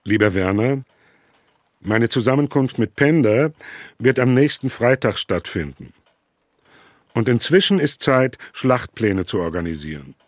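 An actor reading the letters of a worried 19th-century businessman to his brother, in a measured, earnest way over faint music. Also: medium pitch, lively intonation, dry recording, studio quality, close to an everyday voice. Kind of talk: reading letters aloud in a radio documentary.

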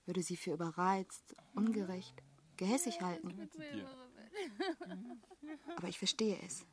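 A woman's voice: on the low side, a little slow, a crowd in the background, lightly acted. An actress playing an earnest, sympathetic young working woman in a radio drama, speaking with sincere, intimate urgency. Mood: urgent, intimate. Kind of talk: German radio drama acting.

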